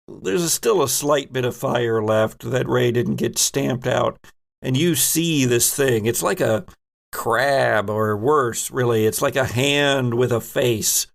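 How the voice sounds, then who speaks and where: Man with a gravelly, creaky voice. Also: medium pitch. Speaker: game master and host. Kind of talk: running a tabletop horror role-playing game.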